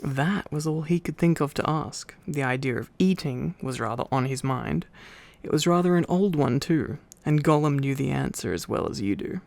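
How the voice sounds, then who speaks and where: A man reading a bedtime story in a sleepy, informal ASMR style, with relaxed rambling asides. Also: fairly high pitch, normal speed, creaky.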